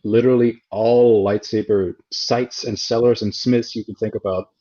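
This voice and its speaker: A man's voice: fairly low pitch, steady tone, normal speed, dark tone. Icelandic-raised male guest, no accent, easygoing, laughs a lot, muffled lo-fi audio. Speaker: guest, lightsaber reviewer. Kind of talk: casual chat. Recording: dull lo-fi sound.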